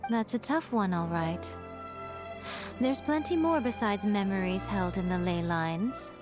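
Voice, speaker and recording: A woman's voice: mid-range voice, measured pace, music in the background, lightly acted. An actress voicing a sly, shrewd woman priestess with a wry, teasing delivery.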